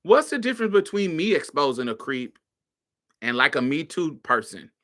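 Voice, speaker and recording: A woman's voice: deep voice, very animated, moderate pace, fairly bright tone. A woman hosting a podcast, giving a casual monologue in a sassy, blunt way. She swears freely and laughs often. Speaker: podcast host.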